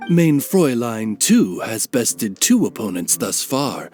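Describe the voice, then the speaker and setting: A loyal raven familiar in an anime game. The mid-pitched male voice moves with lively inflection. The manner is polite, formal and drily butler-like, with music behind. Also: takes it slowly. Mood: polite, explanatory. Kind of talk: anime video game dub.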